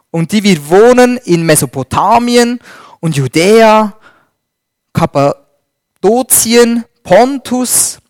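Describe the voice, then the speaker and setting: A man preaching a sermon and reading scripture, slow and emphatic, swinging between loud stresses and a dropped voice. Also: high voice, no echo, clean studio sound.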